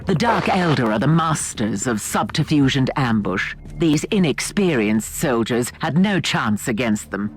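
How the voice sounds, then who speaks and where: An actor playing a measured, analytical male advisor in a video game. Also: medium pitch, very animated, background noise. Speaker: advisor on the ship.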